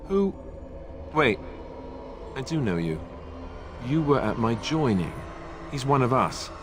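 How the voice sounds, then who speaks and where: An actor voicing a boyish, awkward young warrior in a fantasy video game, with a hesitant way of talking. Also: male voice, mid-range voice, sing-song, takes it slowly, over music. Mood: surprised, unsure. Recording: noisy ambience behind the voice.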